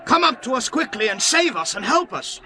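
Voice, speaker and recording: A man doing bright high pleading ancient voice. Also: big pitch swings, a little fast, a little hiss or hum, heavily acted. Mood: urgent pleading. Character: pleading group of ancient men. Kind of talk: dramatized scripture reading.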